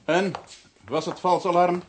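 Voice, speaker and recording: An actor playing an informal, blunt police sergeant on night duty in a radio drama. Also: male voice, high-pitched, little pitch movement, a little slow, a little hiss or hum. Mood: teasing, curious.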